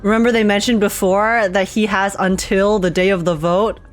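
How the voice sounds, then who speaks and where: A woman's voice: mid-range voice, fairly even intonation, normal speed, faint music behind. A woman game streamer giving casual live reactions in a giggly, teasing way.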